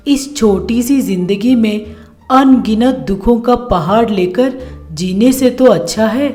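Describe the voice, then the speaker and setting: A woman voicing a calm, gentle young married son in a storybook cartoon, reasoning patiently and philosophically. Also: mid-range voice, natural voice. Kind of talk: animated storybook voice acting.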